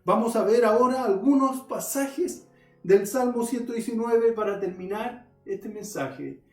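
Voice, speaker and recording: A man's voice: extremely high pitch, a little slow, recorded from a distance, faint music behind. A male pastor preaching a sermon, earnestly addressing his listeners and reading scripture aloud. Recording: roomy echo.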